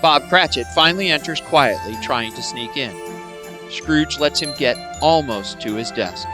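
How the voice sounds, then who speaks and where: A man narrating a scripted radio play in a deliberate storytelling manner. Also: medium pitch, animated, over music, close to an everyday voice. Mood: measured.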